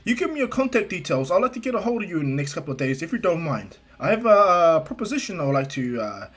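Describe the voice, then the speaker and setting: A man acts out a booming, jovial superhero in an amateur retelling of a cartoon story. He speaks in a raised, husky voice with hearty, fatherly grandeur, treating the listener like a promising youngster. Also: sing-song, quick pace, fairly bright tone, soft background music.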